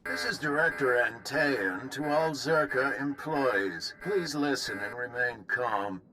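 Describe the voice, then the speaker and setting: A man's voice: mid-range voice, slow pace, background noise, lightly acted. An actor playing an officious male company director reading formal, bureaucratic staff announcements in a game. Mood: controlled urgency. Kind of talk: scripted public announcement.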